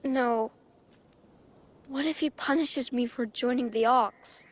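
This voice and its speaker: A guarded, vulnerable young woman in a video game. She speaks slowly and haltingly in a fairly high, husky voice. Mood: anxious. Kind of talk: video game cutscene acting.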